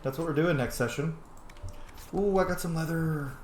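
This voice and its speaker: A man's voice: fairly high pitch, normal speed. A man hosting a casual game roleplay stream, chatty and swearing freely. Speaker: gaming roleplay host. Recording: echoey room with faint noise.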